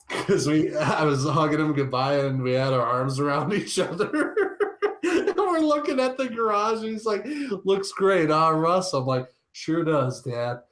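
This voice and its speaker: A man's voice: a little high, lively intonation, unhurried, a bit bright. Casual male podcast co-host. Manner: informal, loose, conversational. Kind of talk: casual chat, personal anecdotes.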